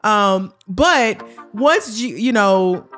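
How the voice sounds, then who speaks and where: A woman's voice: medium pitch, lively intonation, extremely slow, fairly bright tone. An easygoing retired grandmother with a middle-range voice, chatting and laughing as she talks. Faint music plays behind her. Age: older. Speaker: guest. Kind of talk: casual podcast chat.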